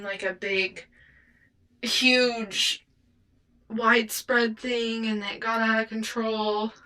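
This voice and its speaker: A woman's voice: on the high side, bright, forceful tone, far from the mic, poor audio. A woman chatting casually as a podcast co-host. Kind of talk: casual chat.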